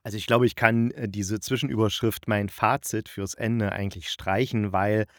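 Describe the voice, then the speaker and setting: A man's voice: lowish voice, no room sound, clean studio sound. A man hosting a podcast, giving a chatty review while thinking aloud and correcting himself. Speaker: podcast host and radio drama critic. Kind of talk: chatty, loosely scripted review monologue.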